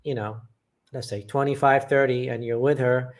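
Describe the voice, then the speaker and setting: A man's voice: medium pitch, fairly even intonation, normal speed. Blunt, matter-of-fact man from England. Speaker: channel host. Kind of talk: live chat reading viewer comments.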